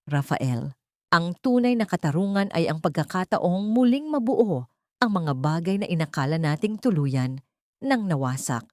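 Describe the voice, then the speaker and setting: A woman's voice: lowish voice, darkish tone, no room sound, clean studio sound. A Filipino woman narrating a scripted dramatic story in a sentimental, solemn manner. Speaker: audiobook narrator.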